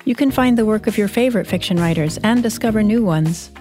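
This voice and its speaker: Female editor, medium pitch, scripted podcast promo, faint music behind. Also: quick pace, warm tone. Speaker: fiction editor and podcast host. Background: light music.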